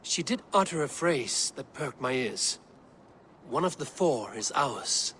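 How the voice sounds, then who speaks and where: A grim Viking assassin from a video game. He is terse and brooding, at a middling pitch, with clear ambient noise behind him. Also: expressive, slow pace, a bit bright. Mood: sober. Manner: low-key, terse, brooding. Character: grim, stoic Viking assassin, adult man. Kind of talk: video game dramatic acting.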